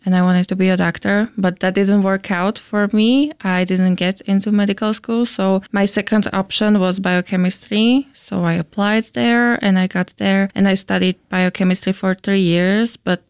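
A woman's voice: mid-range voice, little pitch movement, normal speed, few pauses, a little mellow, smooth, dry recording. A young woman in her twenties from Slovakia, a native Slovak speaker, earnestly explaining her studies in an interview, at times searching for words in English. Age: 20s. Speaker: interviewee, chemistry student.

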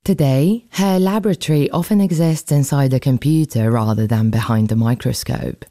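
A woman's voice: very deep voice, moderate pace, dark tone. A woman narrating a scripted radio feature as its presenter. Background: faint music.

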